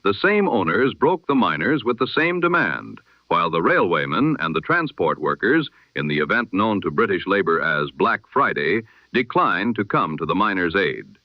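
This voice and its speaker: A man delivers the news in the measured, formal cadence of an old-time radio announcer. His voice sits low, and his intonation rises and falls with plenty of movement. The sound is dry and muffled, like a vintage broadcast. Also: big pitch swings, no room sound. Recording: lo-fi, dull radio-style sound.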